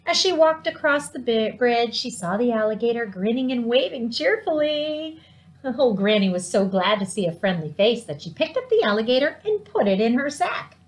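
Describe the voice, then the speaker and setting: A middle-aged woman reading a children's storybook aloud in an animated way, with dramatic, drawn-out emphasis. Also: a little high. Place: living room at home, indoors.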